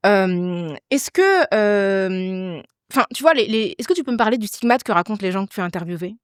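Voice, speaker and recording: A woman's voice: mid-range voice, lively intonation, moderate pace, clear, resonant tone, dry recording, clean studio sound. A woman from Paris with a Parisian accent, hosting an interview and asking engaged, searching questions. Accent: Parisian French. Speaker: interviewer, host. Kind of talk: casual interview chat.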